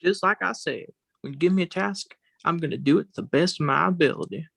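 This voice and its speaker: Cocky cowboy dude, creaky gravel voice, kinda high, drawly. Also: male voice, fast, fairly bright tone, no room sound, exaggerated voice. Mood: boastful. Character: boastful, folksy male cowboy-type budget man. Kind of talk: comedy web series acting.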